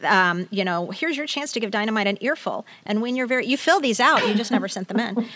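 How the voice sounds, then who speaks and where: A woman's voice: mid-range voice, animated, fast talker, over a noisy crowd. A woman co-hosting a podcast in casual, playful chat with an enthusiastic manner. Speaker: podcast co-host.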